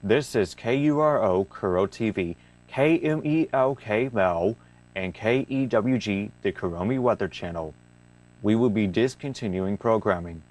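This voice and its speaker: A man reading a scripted emergency broadcast announcement in a formal, measured manner. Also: lowish voice, darkish tone, rough recording.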